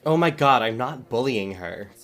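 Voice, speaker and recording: A man's voice: mid-range voice, expressive, moderate pace, a bit bright, close to an everyday voice. A man playing an indignant, sarcastic superhero who writes and produces musicals in an audio drama, with a wounded, theatrical manner.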